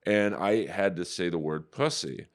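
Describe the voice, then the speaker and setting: Deep baritone man telling stories. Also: unhurried. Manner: animated storyteller, profane. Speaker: comedian and voice actor guest. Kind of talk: casual podcast chat.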